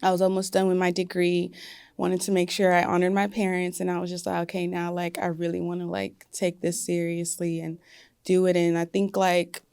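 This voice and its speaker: Young woman singer, lower voice, clear and dry, chatty interview guest. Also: moderate pace, no echo. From United States. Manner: relaxed, many fillers like "like" and "you know". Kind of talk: casual interview talk.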